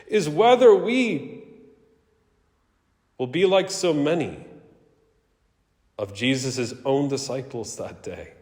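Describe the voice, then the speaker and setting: A man preaching and reading scripture in a solemn, emphatic, theatrical way, with deliberate pauses. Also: medium pitch. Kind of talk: dramatic scripture reading and sermon. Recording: echoey hall with long reverb.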